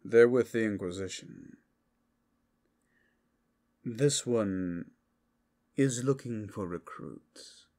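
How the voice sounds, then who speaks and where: A man's voice: fairly low pitch, drawn-out words, pauses often, darkish tone. A man voicing a blunt, matter-of-fact tiefling messenger in a fantasy ASMR roleplay. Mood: blunt, businesslike. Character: blunt tiefling messenger bringing unwelcome news.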